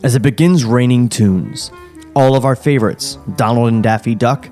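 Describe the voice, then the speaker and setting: A man's voice: mid-range voice, moderate pace, darkish tone, soft background music. Man dryly narrating a screenplay. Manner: dry, wry, with chuckles and sarcastic asides. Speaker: podcast host reading a screenplay. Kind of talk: script table read, narrating stage directions. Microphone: studio podcast mic.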